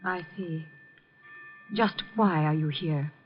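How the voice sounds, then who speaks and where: A woman's voice: low voice, takes it slowly, soft background music. A woman playing a guarded, haughty suspect in a 1950s radio drama, clipped, controlled and challenging. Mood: guarded, startled. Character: cool, haughty, guarded woman under suspicion. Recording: muffled vintage 1950 radio recording.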